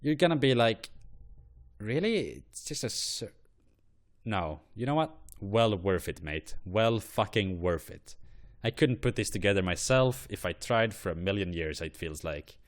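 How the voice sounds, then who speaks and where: A man's voice: fairly low pitch, expressive, moderate pace. A man hosting an online show, giving blunt, profane and rambling opinions. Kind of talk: ranting commentary on flags.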